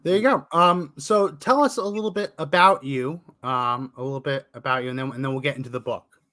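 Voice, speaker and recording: A man hosting a podcast, brisk and upbeat as he introduces topics and his guest in casual chat. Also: medium pitch, pushed and bright, no echo, studio quality. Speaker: podcast host.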